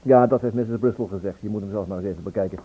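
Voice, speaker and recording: An actor playing a matter-of-fact police investigator in a radio play, direct and businesslike. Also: male voice, fairly low pitch, rapid-fire, mellow, breathy edge. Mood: businesslike, probing. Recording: tinny, narrow-band old radio recording.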